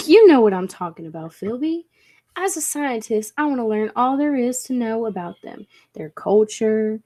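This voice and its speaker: A woman's voice: a little high, expressive, normal speed, dark tone. A woman playing a skeptical, intense professor of science, speaking earnestly and emphatically in a 1940s-style radio play. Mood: frustrated lecturing. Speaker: amateur drama club actor.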